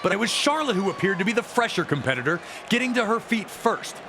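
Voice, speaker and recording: A man's voice: high voice, expressive, quick pace. A man narrating wrestling matches like a sports documentary, with emphatic, dramatic storytelling. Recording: loud crowd roar and arena noise. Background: roaring arena crowd.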